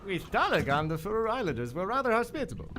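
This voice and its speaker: A man's voice: high voice, big pitch swings, fast, sharp tone, full character voice. A man playing a courteous young prince with a dry manner in live radio theater. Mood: wry. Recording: live stage recording with background noise.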